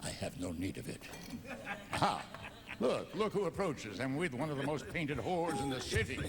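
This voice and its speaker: Vengeful gothic nobleman, wildly animated. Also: a little high, normal speed, crowd noise. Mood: darkly gleeful. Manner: theatrical, brooding, obsequiously sly. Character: vengeful Venetian nobleman narrator, seething beneath false courtesy. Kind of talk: melodramatic gothic radio drama narration and dialogue. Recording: music under the voice.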